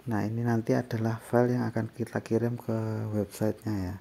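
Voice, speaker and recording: A man gives a casual step-by-step software tutorial, explaining in a halting way. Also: lowish voice, little pitch movement, vocal fry, slightly rough. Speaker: tutorial presenter. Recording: faint background noise.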